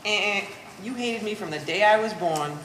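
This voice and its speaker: A woman's voice: medium pitch, normal speed, a bit bright, lightly acted. A woman playing a resentful, bookish adult daughter in a stage play, speaking with wordy sarcasm. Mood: bitter. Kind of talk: live stage play acting. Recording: echoey hall, distant mic, faint noise.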